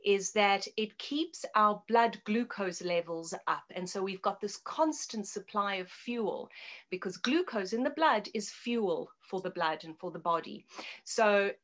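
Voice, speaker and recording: A woman's voice: mid-range voice, lively intonation, measured pace, a bit bright. A middle-aged woman with a South African accent, giving explanatory interview answers as a health adviser in an articulate way. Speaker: guest naturopath and health adviser.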